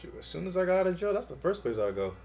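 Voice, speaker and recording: A man's voice: high-pitched, big pitch swings, racing, muffled recording, soft background music. A man hosting a reaction show, chatting casually as he gives his thoughts.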